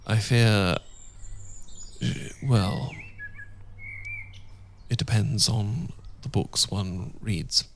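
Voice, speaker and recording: A British man playing a tender, devoted Regency gentleman husband in an intimate ASMR roleplay, speaking gently and a little hesitantly in formal period phrasing. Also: lowish voice, steady tone, very slow, long pauses, a little hiss or hum. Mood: gentle, chatty. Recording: outdoor birdsong ambience behind voice. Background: birdsong and outdoor ambience.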